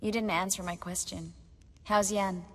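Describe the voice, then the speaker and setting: An actress playing a young sorceress in a video game, wry, guarded and teasing. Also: female voice, medium pitch, fairly even intonation, normal speed, long reverb tail, close to an everyday voice.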